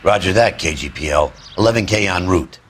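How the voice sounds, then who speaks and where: A middle-aged American man playing a gruff, world-weary police detective in a game drama. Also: low-pitched, lively intonation, a little fast. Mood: matter-of-fact. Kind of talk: cinematic game drama acting.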